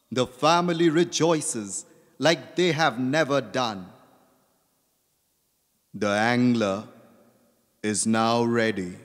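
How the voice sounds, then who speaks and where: A man giving dramatic, deliberate, poetic narration for a children's puppet show, heard through long hall reverb. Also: fairly high pitch.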